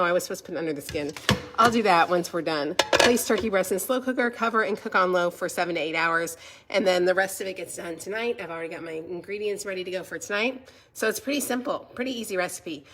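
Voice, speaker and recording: A woman speaks at an average pitch, sounding congested. She chats informally as a livestream host, addressing viewers directly and offering encouragement. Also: a bit bright, a little hiss or hum. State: stuffy, congested voice from a cold. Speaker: vlog host selling a home planner. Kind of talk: casual livestream chat, answering viewer comments.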